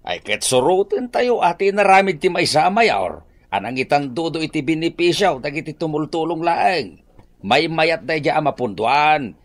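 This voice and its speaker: A man's voice: on the high side, normal speed. A man with an Ilocano Filipino accent acting a cheerful, exclaiming village captain in a radio drama. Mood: explaining. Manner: animated, exclaiming, chuckling. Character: cheerful male village captain praising helpful residents.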